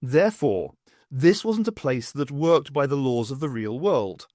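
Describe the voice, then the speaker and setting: A man reading a story aloud as an audiobook narrator, in an expressive scripted style. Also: medium pitch, a little slow, no room sound, studio quality.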